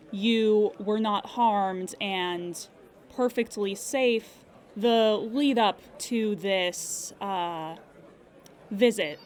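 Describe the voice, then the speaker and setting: A woman playing a polite, measured insurance claims agent who speaks formally and diplomatically on an improvised audio drama call. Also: mid-range voice.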